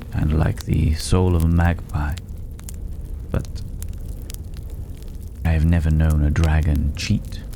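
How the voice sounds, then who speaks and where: Regal, wry male drifter for fantasy ASMR roleplay, acted by a man: extremely low, slow, condescending, intimate, over audible background noise. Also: warm tone, exaggerated voice. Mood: knowing, wry. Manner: unhurried, wry, condescending, with drawn-out pauses. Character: regal, teasing male drifter, secretly a dragon.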